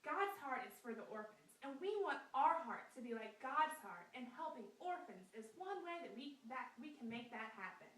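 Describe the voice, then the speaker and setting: A bright, high, lively girl puppet, voiced by a woman, earnest and explanatory in a sing-song storybook manner. Her voice swoops up and down with a sharp, brilliant edge. She sounds set back from the mic in an echoey room. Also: moderate pace, few pauses, far from the mic. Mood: cheerful. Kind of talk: scripted puppet skit read aloud.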